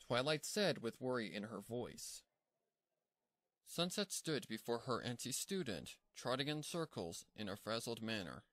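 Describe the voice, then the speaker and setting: A man's voice: medium pitch, fairly bright tone, dry recording. Man narrating a story, dry close sound. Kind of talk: scripted fan-fiction narration.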